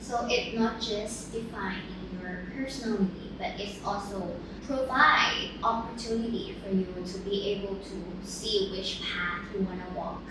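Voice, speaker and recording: A woman whose first language is Thai, co-hosting an educational podcast and speaking in a measured way while she searches for words. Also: mid-range voice. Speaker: podcast co-host and tutor. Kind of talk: casual podcast chat. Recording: echoey room, distant mic, noticeable noise.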